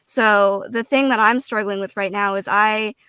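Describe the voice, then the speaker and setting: Clear-voiced woman chatting casually on a panel. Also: medium pitch, fairly even intonation, normal speed, pushed and bright, no echo. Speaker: voice actor on a panel.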